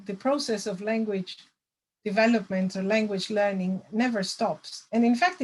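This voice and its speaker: A middle-aged woman, a lecturer answering audience questions over a video call, thoughtful with many pauses and fillers. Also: medium pitch, a little mellow, rough recording. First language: Greek. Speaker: language assessment lecturer.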